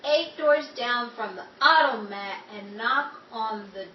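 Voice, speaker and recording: Woman playing a high, breezy 1930s gal pal. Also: slow, muffled recording. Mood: breezy, oblivious. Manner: playful, theatrical, wheedling. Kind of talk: 1930s screwball comedy radio-play acting. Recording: echoey, distant, noisy with crowd behind.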